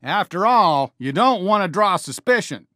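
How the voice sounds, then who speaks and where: A man's voice: sits high, expressive, normal speed, bright, forceful tone, no echo, studio quality. A man voicing a friendly, upbeat toy cowboy sheriff for a video game, with an American accent and a folksy Western drawl. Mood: friendly, encouraging. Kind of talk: animated video game character lines.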